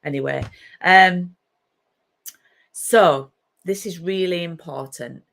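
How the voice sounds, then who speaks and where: A woman's voice: lowish voice, takes it slowly, creaky, fairly bright tone. A middle-aged woman with a Yorkshire accent, chatting casually on a craft live stream from her home craft room and greeting viewers by name. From Yorkshire, England.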